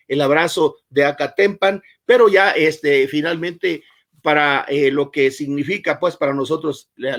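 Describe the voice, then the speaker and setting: A man from Mexico's Guerrero coast, speaking as a chronicler on a virtual panel, pausing often on filler sounds as he talks. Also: a little high. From Mexico, Costa Chica of Guerrero. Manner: hesitant, many filler "eh" pauses. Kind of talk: formal virtual panel talk. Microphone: video call.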